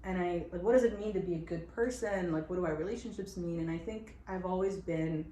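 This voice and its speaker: A young woman co-hosting a podcast, chatting casually with a friend, animated and full of fillers and laughter. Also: fairly low pitch, fairly quick. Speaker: podcast co-host. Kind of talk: casual chat between friends. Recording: roomy with faint noise.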